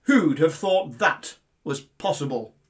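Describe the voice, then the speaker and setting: Man acting a loud, pompous storybook lion king. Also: fairly high pitch, lively intonation, takes it slowly. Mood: amazed. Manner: pompous, scornful, drawn out. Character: huge haughty male lion, lazy king of beasts. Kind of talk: children's fable storytelling.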